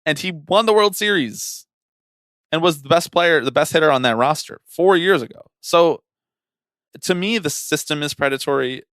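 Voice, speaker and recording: A man's voice: fairly high pitch, normal speed, a bit bright, no echo. A young man co-hosting a casual sports podcast, giving his analysis in an opinionated, emphatic way. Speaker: podcast co-host.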